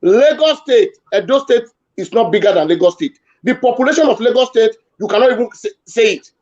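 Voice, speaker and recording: A man's voice: very high voice, animated, brisk, a little mellow. Emphatic Nigerian man arguing, lo-fi. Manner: emphatic, argumentative, repeats points. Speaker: town hall panelist. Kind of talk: heated live debate.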